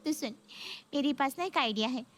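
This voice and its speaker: A woman's voice: sits high, normal speed, close to an everyday voice. A woman voicing a chatty, helpful young female friend in a Hindi cartoon story. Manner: chatty, familiar.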